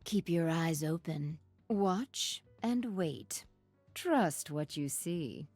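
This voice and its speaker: A woman's voice: low voice, expressive, extremely slow, a little mellow, soft background music, exaggerated voice. A woman playing a venomous serpent villainess in a video game, seductive, haughty and sadistic, with a silky, taunting delivery. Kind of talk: video game champion voice lines.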